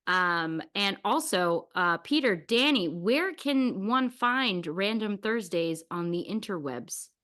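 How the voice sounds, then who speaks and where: A woman's voice: mid-range voice, animated, takes it slowly, hardly any pauses, a bit bright. A woman hosting a casual podcast interview, talking in an informal, emphatic and encouraging way. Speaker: podcast host.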